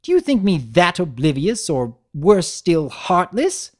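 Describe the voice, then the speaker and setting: A prim, dramatic female unicorn seamstress, as a woman reader puts her on. Her voice climbs high and swoops widely as she lays emphasis on every refined phrase. It is a storybook character voice with lively, sweeping inflection. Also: measured pace. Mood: indignant. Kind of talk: dramatic audiobook reading.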